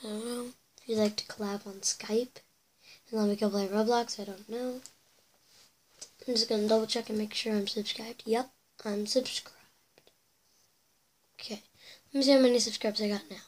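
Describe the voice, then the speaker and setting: A woman's voice: a little high, steady tone, normal speed, long pauses. A teenage girl chatting to her webcam in her bedroom, rambling through viewer comments with whispered asides, her voice a bit cracky from losing it. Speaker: YouTuber reading viewer comments. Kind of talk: casual chat to camera. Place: bedroom indoors.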